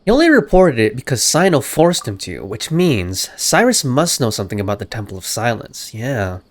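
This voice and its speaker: A man's voice: medium pitch, very animated, moderate pace. A man giving casual, slangy, reactive commentary while playing through a video game. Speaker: game streamer.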